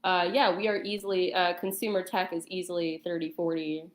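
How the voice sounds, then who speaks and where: A woman speaking as a guest in a casual chat, halting and pausing mid-sentence. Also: on the low side, flat delivery. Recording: echoey room sound.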